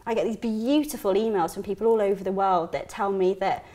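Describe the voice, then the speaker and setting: A Welsh woman, a career mentor being interviewed, talking in a chatty, energetic way with emphatic repetitions. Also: medium pitch, fairly quick. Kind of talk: casual interview chat. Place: TV living room studio.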